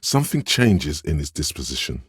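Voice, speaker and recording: Super low raspy inner voice for a game, smooth talker type, slow deliberate coaxing, really husky. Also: male voice, very animated, dry recording, studio quality, full character voice. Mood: observant, measured. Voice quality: deep, gravelly, husky. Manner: measured, deliberate, dramatic. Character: smooth, insinuating inner voice of social intuition, coaxing, intimate. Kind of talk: scripted game narration as an inner voice giving social advice.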